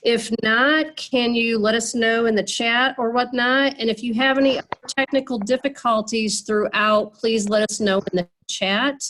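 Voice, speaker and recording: A woman with a fairly high voice runs an online meeting, nasal from a cold. She talks in a chatty way, with plenty of fillers and restarts, over a video call. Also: steady tone, measured pace, few pauses, a bit bright, poor audio. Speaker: meeting moderator.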